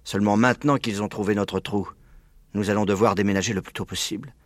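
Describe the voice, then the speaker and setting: A man's voice: fairly low pitch, fairly even intonation, fast, no room sound, lightly acted. A man voicing a wounded but commanding father fox in a storybook, with an emphatic delivery. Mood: brooding. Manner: emphatic, exclamatory.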